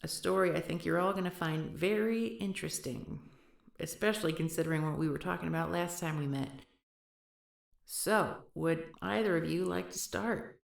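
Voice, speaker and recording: Wry ex-professor type, low gravelly voice, dry and bossy, a woman playing her. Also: normal speed, no echo. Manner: dry, teasing, takes charge, swears casually. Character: wry, self-assured disgraced former woman professor. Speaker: historical society leader. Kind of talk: scripted audio roleplay.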